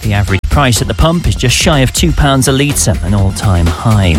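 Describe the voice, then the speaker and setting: A man reads the radio news in a measured, formal manner. His pitch moves a lot from line to line. Music plays clearly behind him. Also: expressive. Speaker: radio newsreader. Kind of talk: news bulletin reading.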